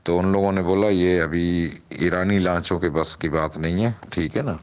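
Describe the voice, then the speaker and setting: A man's voice: low-pitched, steady tone, moderate pace, smooth. A man talking casually in a recorded phone call, briefing someone and repeating his points for emphasis.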